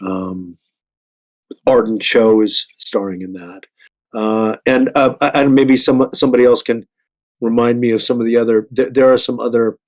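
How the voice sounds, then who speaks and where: A man speaking as a panelist in a casual discussion, very hesitant, with halting restarts and stammers. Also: medium pitch, steady tone, a little mellow, low-quality recording. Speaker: panelist working in entertainment.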